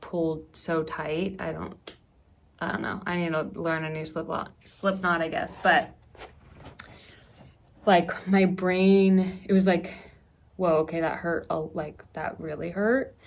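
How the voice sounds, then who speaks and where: A woman tells a personal story in a casual vlog style. Her voice sits a little low and she goes at a very unhurried pace, acting out reactions along the way. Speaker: vlogger telling a story.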